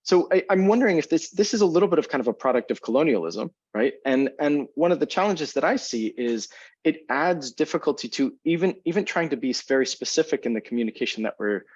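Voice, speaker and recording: A man's voice: a little high, expressive, fast talker, darkish tone, husky, no room sound. Man on a dull lo-fi call line. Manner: thoughtful, searching for words, many restarts. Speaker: physician panelist. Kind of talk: panel discussion.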